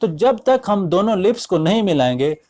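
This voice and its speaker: Higher-pitched Pakistani man teaching. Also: sing-song, darkish tone. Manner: deliberate, explanatory, switches between English and Urdu. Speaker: English language teacher. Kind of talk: instructional lecture to students.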